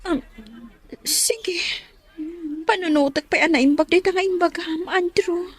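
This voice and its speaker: A woman's voice: very high-pitched, unhurried, dry recording. A woman playing a worried, grateful young mother deserted by her husband, plaintive and tearful, in an Ilocano radio drama. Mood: hesitant, grateful.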